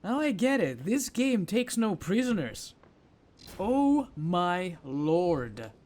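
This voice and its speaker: A man with a fairly high, very animated voice gives casual video game commentary. His delivery is theatrical and unhurried, full of exclamations, over faint background noise.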